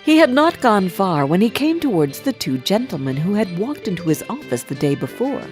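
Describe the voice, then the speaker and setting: A woman reading a scripted storybook tale as narrator, with an expressive, deliberate delivery. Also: mid-range voice, music in the background.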